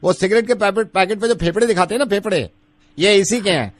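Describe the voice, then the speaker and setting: A man making comic radio prank calls, insistent and wordy, keeping a polite, deadpan manner. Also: high voice, fast, dry recording. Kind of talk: comic prank calls in assumed everyday characters.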